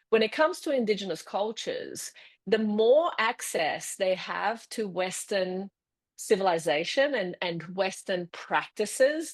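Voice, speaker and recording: Guest voice: middle-aged Brazilian-born woman, Australian accent, lowish, rambling but thoughtful. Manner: thoughtful, lightly rambling explanation with restarts. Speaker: fertility specialist guest. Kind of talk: podcast interview answer.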